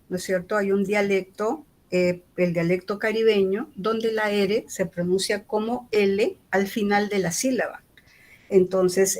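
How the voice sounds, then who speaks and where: A woman from Peru with a Lima accent, giving a university lecture in an explanatory way, often checking in with the audience through tag questions. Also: medium pitch. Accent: Lima Spanish.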